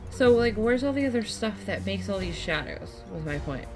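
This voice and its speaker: A woman gives casual let's play commentary at a middle pitch. She talks in quiet, halting asides to her viewers. Music runs behind her voice. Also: lively intonation. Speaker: gaming video host.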